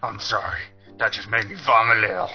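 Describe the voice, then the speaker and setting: Forceful foul-mouthed man, muffled recording. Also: on the low side, flat delivery, fast talker. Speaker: amateur fandub voice actor. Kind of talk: improvised crude comedy dub. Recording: dull lo-fi sound with music.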